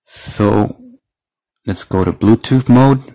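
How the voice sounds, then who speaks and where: Low-voiced man demonstrating a product. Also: takes it slowly, dark tone, low-quality recording. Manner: step-by-step, explanatory. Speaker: product reviewer. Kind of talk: casual hands-on product demonstration. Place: indoors at a wooden table.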